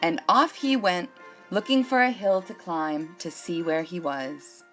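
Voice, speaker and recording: A woman's voice: lowish voice, a little slow, a bit bright, soft background music. A woman hosting a children's storytime, reading a picture book aloud expressively and addressing young listeners.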